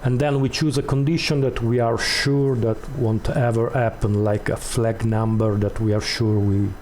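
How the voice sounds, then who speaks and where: A man hosting a step-by-step tutorial, explaining off the cuff in a halting way and repeating words as he goes. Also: medium pitch, level tone, a little mellow, a little hiss or hum. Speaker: tutorial host.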